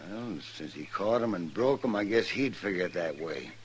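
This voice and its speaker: Mean old Western rancher, low-voiced. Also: male voice, lively intonation, moderate pace. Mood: defiant, scornful. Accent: American Western. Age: older. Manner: defiant, folksy, contemptuous drawl. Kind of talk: old-time radio western acting. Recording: old radio recording with noise.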